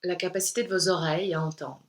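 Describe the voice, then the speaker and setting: Low-pitched woman guiding meditation. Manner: calm, soothing, unhurried instruction with pauses. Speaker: yoga instructor. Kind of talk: guided meditation.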